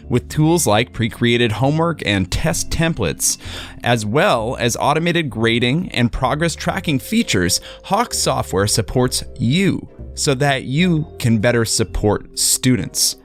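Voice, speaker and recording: Slow, measured male ad announcer. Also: medium pitch. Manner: measured, scripted delivery. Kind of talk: read sponsor ad.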